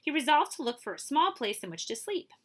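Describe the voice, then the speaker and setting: Woman, bright forward voice, children's read-aloud, expressive, suspenseful, hushed drops, stretched key phrases. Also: high-pitched, fairly quick. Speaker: librarian reading aloud.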